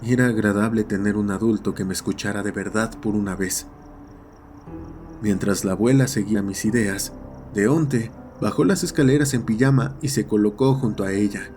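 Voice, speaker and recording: A man's voice: fairly low pitch, moderate pace, darkish tone, soft background music. A man reading a scripted horror story as its narrator.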